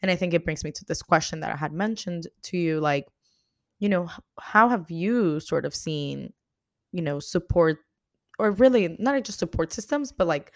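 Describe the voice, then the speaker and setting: A woman's voice: low-pitched, gravelly, dry recording, studio quality. A woman hosting a casual interview, asking reflective questions in an earnest way with many fillers. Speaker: host.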